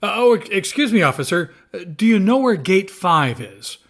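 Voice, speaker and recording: A man's voice: sits high, very animated, normal speed, fairly bright tone, slight background noise, full character voice. A man playing an inquisitive, skeptical undercover reporter in a radio drama, wry and a bit hesitant. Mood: flustered, curious.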